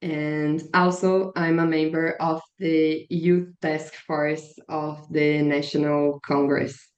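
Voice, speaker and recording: A woman in her twenties with a Brazilian Portuguese accent speaks English slowly and earnestly, in a low voice with a slight strain. Her words sound measured and prepared. The audio is roomy and a little dull. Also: level tone, a little mellow. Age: 20s. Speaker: guest, biologist and climate activist. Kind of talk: podcast interview answers. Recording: echoey room, dull lo-fi sound.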